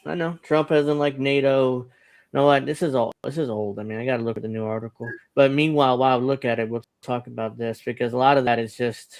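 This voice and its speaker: A man's voice: mid-range voice, fairly even intonation, clear, resonant tone. Rambling man in his thirties hosting. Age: 30s. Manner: rambling, halting with restarts. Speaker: podcast host. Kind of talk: casual panel chat on news.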